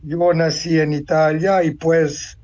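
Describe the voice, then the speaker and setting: A man in his seventies from Tunisia, giving a reflective personal account as an interview guest, deliberate and pausing to find words. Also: on the high side, level tone, a little mellow, lo-fi sound. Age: 70s. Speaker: interview guest, author and translator.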